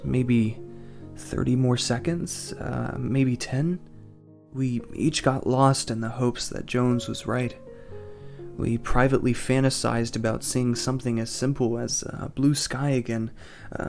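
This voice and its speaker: A man acting an anguished family man in a fiction podcast, narrating in the first person with halting, emotional delivery. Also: mid-range voice, vocal fry, a little mellow. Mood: wistful, anxious. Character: anguished family man trapped in frozen time. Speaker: narrator and lead actor in an audio drama.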